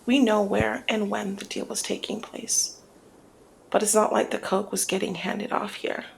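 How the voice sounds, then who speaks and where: A woman playing a flirty, cunning female mob boss in an intimate ASMR roleplay, speaking in a teasing, deliberate murmur that often slips toward a whisper. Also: mid-range voice, level tone, slight background noise, low-quality recording. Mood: teasing, confiding. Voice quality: breathy creaky murmur, often dropping to whisper. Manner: teasing, deliberate, drawn-out phrasing.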